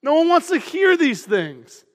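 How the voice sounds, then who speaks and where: A man with a high voice preaches a sermon in a loud, energetic and emphatic way. His voice rings out through a church auditorium with a long hall reverb. He speaks to the congregation in front of him. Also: a little slow. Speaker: pastor.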